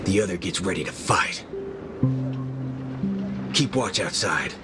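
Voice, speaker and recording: An actor playing a gruff, wry cowboy gunslinger in a video game, with a folksy drawl and minced swear words. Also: male voice, low-pitched, expressive, measured pace. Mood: cocky. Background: game music.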